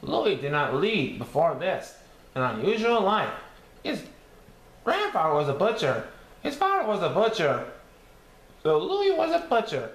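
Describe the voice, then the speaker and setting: A man voicing a cheerful cartoon sea sponge who reads a storybook aloud in a halting sing-song. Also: sits high, huge pitch swings, exaggerated voice. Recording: echoey room with faint background noise.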